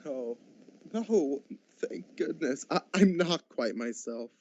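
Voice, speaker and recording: A man playing a giddy, generous reformed old miser in a radio play, stammering a little in excitement. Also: fairly high pitch, slow pace. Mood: joyful, generous. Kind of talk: school radio drama acting. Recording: dull lo-fi home recording.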